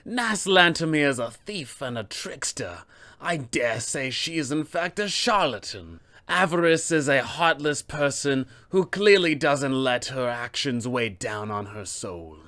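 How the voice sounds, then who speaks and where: A man's voice: medium pitch, expressive, a little slow, bright, forceful tone. A man voicing a haughty, self-serving female wizard with formal, theatrical disdain for a tabletop game. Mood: scornful. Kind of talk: acted villain lines for a tabletop game.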